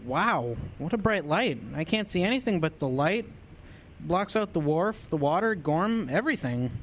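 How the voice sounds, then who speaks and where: A woman's voice: on the low side, expressive, moderate pace, slight background noise, lightly acted. A woman playing a friendly, practical small-town coffee shop server in a radio drama. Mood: puzzled.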